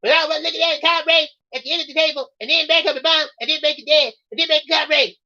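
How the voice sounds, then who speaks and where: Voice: high-pitched, steady tone, racing, bright, forceful tone, lo-fi sound, pitched above the performer's own voice, exaggerated voice. A man voicing a whiny, bratty, foul-tempered cartoon boy reading out a story. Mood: bratty, sardonic.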